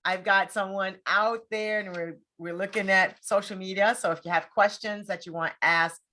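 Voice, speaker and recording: A woman's voice: mid-range voice, steady tone, moderate pace, bright, forceful tone. A woman hosting a casual online interview, informal and encouraging as she asks her questions. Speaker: interview host.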